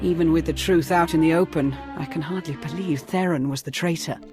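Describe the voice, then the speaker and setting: An actress playing a shrewd, loyal and vengeful Sith adviser in a cinematic video game, formal and controlled. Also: female voice, deep voice, brisk, darkish tone, soft background music, natural voice. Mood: controlled, businesslike.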